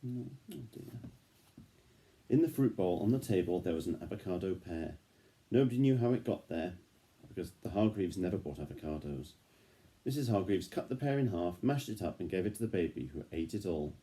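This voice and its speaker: A man with a very deep, dark and mellow voice reads a picture book to a child. His delivery is gentle and engaging. He often pauses the story to put simple questions to the young listener. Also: animated.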